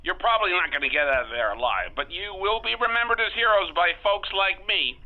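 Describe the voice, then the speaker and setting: An actor playing a blunt, bitter out-of-work caller to a radio phone-in, heard through a telephone filter. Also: male voice, high-pitched, lively intonation, a little fast. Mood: grim admiration. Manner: blunt, grim, fatalistic. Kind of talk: radio phone-in in an audio drama. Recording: thin, band-limited phone line.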